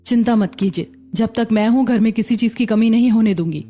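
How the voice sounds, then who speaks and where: A woman acts as a caring, sensible young mother in a cartoon family story. Her voice is a little high, with faint music underneath. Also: fairly quick, dark tone, lightly acted. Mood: reassuring. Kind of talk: cartoon family drama acting.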